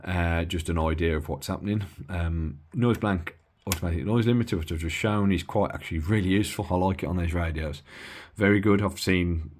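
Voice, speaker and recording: Very low-pitched man, casual demonstration. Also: darkish tone. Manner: hesitant, matter-of-fact technical explaining. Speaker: hobbyist demonstrating a used CB radio. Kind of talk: casual unscripted bench demonstration.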